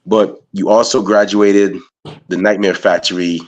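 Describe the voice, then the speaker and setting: Low-voiced guy from guyana hosting an interview, laid back, lots of "you know" and "man". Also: little pitch movement, a little slow, dull audio. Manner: loose, rambling questions full of "you know" and "man". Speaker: interviewer, podcast host. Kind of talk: casual interview chat.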